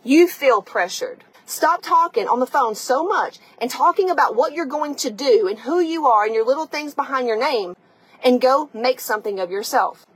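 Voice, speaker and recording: A woman with a high voice and a raspy edge delivers a tough-love motivational monologue as a coach. She is blunt, emphatic and sweary, speaking directly to the listener in a conversational way. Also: animated, normal speed. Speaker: podcast host and coach.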